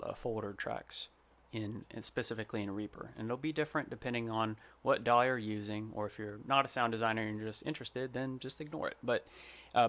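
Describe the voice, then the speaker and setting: A man's voice: on the low side, sharp tone. A man who works as a sound designer, giving an informal, plain-spoken tutorial. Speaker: sound designer presenting his project.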